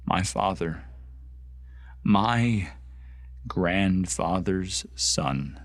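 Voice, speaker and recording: A man performing a young would-be space marine in a radio drama, bigoted and full of grievance. The voice is on the low side and very dry, close and free of room. He speaks with indignant intensity and weighty pauses. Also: animated, no room sound, full character voice. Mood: angry, grieving. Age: young adult. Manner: intense, indignant, with heavy pauses and sudden outbursts.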